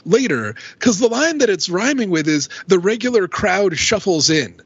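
A man's voice: sits high, big pitch swings, normal speed, a bit bright, slightly rough, no room sound. A man on a podcast panel, arguing his opinions in a casual discussion style. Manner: opinionated, argumentative. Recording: dull, dry lo-fi audio.

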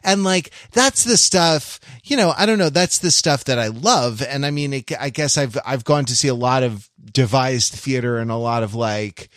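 A man co-hosting a podcast in casual discussion, rambling and halting as he thinks his points through. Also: mid-range voice, fairly bright tone, no room sound, clean studio sound. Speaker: podcast co-host.

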